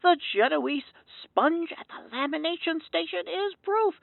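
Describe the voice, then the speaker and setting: A woman playing an earnest, wordy radio call-in listener with a creaky voice over a filtered phone line. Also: very high voice, animated, measured pace, no room sound, full character voice. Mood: gushing, earnest. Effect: telephone filter. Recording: muffled, dull telephone line.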